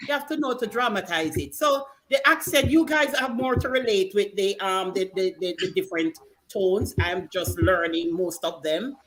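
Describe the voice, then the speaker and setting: Animated Jamaican woman, bright voice. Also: mid-range voice, normal speed, few pauses, rough recording. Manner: animated storyteller, mimics accents with many stammered restarts. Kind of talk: casual group chat.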